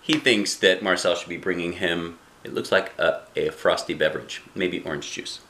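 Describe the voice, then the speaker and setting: A middle-aged man reading aloud to a child as a dad would, playful and expressive, with chatty asides and questions. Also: low-pitched, normal speed. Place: small living room indoors. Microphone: camera a short distance away.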